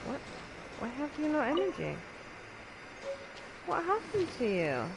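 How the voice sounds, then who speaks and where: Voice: high-pitched, huge pitch swings, unhurried, vocal fry, background noise. A gamer giving casual gameplay commentary, talking to themselves in short, scattered remarks. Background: game music.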